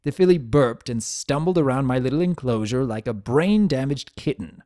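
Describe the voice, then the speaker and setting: A man's voice: medium pitch, lively intonation, moderate pace, mellow, no echo, clean studio sound, close to an everyday voice. A man voicing a vain, theatrical trapped chaos spirit. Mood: disdainful, mocking. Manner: theatrical, sardonic, disdainful. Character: vain, spiteful, bored male chaos spirit trapped as statue. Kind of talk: first-person dramatic fan fiction reading.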